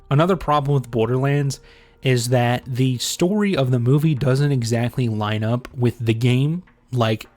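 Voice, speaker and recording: A man's voice: mid-range voice, a little mellow, no echo. Blunt man giving dry, close-miked commentary. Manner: blunt, sarcastic, heavily profane. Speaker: video essay host. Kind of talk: casual opinionated commentary.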